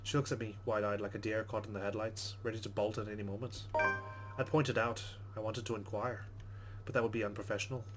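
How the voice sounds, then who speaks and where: A man's voice: fairly low pitch, little pitch movement, brisk, over loud music. A man hosting a let's play, reading game narration aloud with casual commentary.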